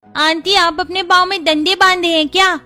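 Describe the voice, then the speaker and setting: A woman's voice: very high-pitched, steady tone, moderate pace, pushed and bright, faint music behind. A woman voicing a cheeky, wide-eyed child in a family cartoon. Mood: cheeky, amazed. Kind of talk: Hindi family cartoon dubbing.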